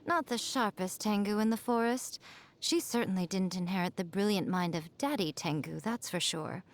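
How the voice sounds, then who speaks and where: Game role, female actor: ageless, elegant fox shrine maiden, mid-pitched female voice, coy and teasing, music underneath. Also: lightly acted. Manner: poised, coy, wryly condescending, drawn-out phrasing. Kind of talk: scripted video game character voice lines.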